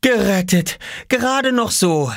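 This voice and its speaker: An excitable little boy from a children's storybook, acted out by a man, speaks in a high, wildly varied pitch. He is bossy and impatient, exclaiming and scolding with urgency. The sound is dry and close. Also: moderate pace, no echo. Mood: relieved.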